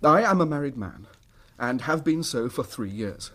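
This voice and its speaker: An actor playing a troubled, well-bred Victorian husband in a radio drama, with an English accent and a halting, formal, agitated manner. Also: male voice, medium pitch, animated, fairly bright tone. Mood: earnest.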